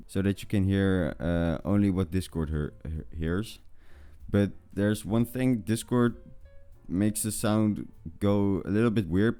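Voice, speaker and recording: A young adult man hosting a casual tutorial in an informal, halting way, often repeating words. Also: low voice, warm tone, smooth, no echo. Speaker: tutorial video host. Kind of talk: casual screen-recording tutorial.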